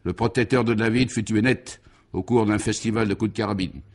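A man's voice: lowish voice, fairly even intonation, a little fast. A man narrating a scripted absurd comic radio serial in a mock-solemn, grandiloquent and emphatic way.

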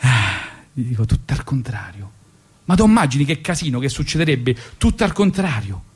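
A man's voice: medium pitch, very animated, fairly quick, breathy edge. A man with a Roman accent hosting a radio show in an ironic, colloquial comic monologue style, rambling and repeating himself.

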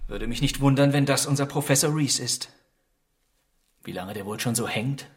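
An actor playing a measured, protective gentleman detective and husband in a radio crime drama. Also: medium pitch, close to an everyday voice. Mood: calm, investigating.

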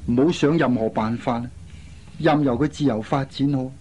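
A man's voice: medium pitch, normal speed, a little mellow, a little hiss or hum, close to an everyday voice. An actor playing a shy, honest, lovelorn young man in a Cantonese radio drama, speaking earnestly and with restraint. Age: young adult.

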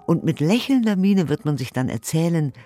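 A woman's voice: deep voice, expressive, normal speed, dark tone, soft background music. An actor in a radio play voicing an earnest, indignant woman who reads 1890s letters and commentary emphatically and articulately. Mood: indignant conviction. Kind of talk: dramatic radio play recitation of historical documents.

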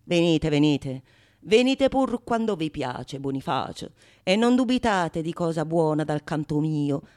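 A woman's voice: low-pitched, expressive, unhurried, darkish tone. Woman as a sly, teasing painter, swooping delivery. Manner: sly, mock-impressed, theatrical. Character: witty, teasing male painter, fond of wordplay. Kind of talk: theatrical radio monologue.

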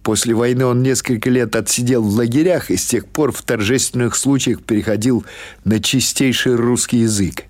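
A man reading literary prose as an audiobook narrator, in an expressive, measured storytelling manner. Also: mid-range voice.

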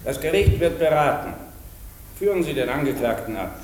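An actor playing a stern, formal presiding judge with an official manner in a radio play. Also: male voice, on the high side, expressive, moderate pace, a little mellow, natural voice. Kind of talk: German radio play acting. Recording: echoey room, faint hiss.

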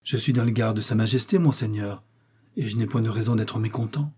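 A man voices a brave young swordsman in a storybook reading, respectful yet proud and earnest. The tone is dark and mellow with a slight husk. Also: lowish voice, brisk, husky. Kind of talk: audiobook character reading.

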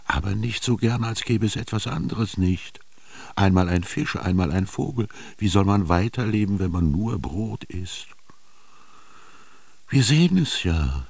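An actor in a radio drama playing a melancholy, yearning ancient Egyptian man recalling his youth, speaking lyrically in a gravelly, husky voice with lingering pauses. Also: sits low, no echo, full character voice. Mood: musing. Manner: lyrical, brooding, with rhetorical questions and lingering pauses. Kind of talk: literary radio drama monologue.

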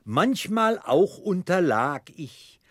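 A grandiose, genteel debtor in a radio play. His husky voice rises and falls theatrically through ornate phrases, with pompous hesitations. The recording is dry and close. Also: sits high, very animated, takes it slowly, dry recording, exaggerated voice. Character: pompous, florid, genteel middle-aged debtor gentleman, grandly self-important. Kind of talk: German radio play acting.

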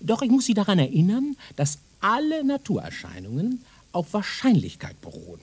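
A pompous professor for a radio play, swoops up and down, husky, super condescending. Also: male voice, high voice, huge pitch swings, moderate pace, exaggerated voice. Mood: lofty, insistent. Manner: pompous, didactic, declamatory, condescending. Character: pompous, self-assured male professor lecturing on his doctrine. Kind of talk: German radio drama acting.

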